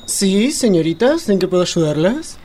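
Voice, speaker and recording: A woman's voice: mid-range voice, very animated, moderate pace, dark tone, a little hiss or hum, natural voice. A woman playing a stern, formal male hotel night porter in a radio drama. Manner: formal, strict.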